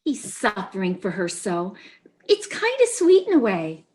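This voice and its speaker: A woman's voice: medium pitch, wildly swooping pitch, unhurried, a little mellow. A woman playing an anxious, sympathetic, easily overwrought neurotic wife in a stage comedy reading, fretful and emotional. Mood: emotional, reproachful. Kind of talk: stage comedy readers theater.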